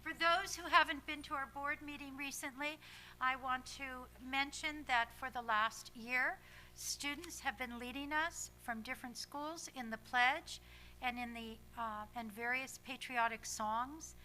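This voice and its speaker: A woman leads a school board meeting in a high voice with a very bright tone. She talks in a measured, slightly halting way and pauses between phrases. A faint hum of noise sits under her voice. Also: poor audio. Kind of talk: formal meeting remarks.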